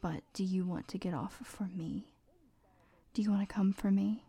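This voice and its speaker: A young woman whispers close to the mic in a breathy, mellow voice. She talks very slowly, teasing and giving orders with little laughs in between. The sound is dry and intimate, with no room around it. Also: medium pitch, steady tone, dry recording. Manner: mostly whispered; teasing and commanding, with soft laughs. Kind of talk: whispered audio roleplay.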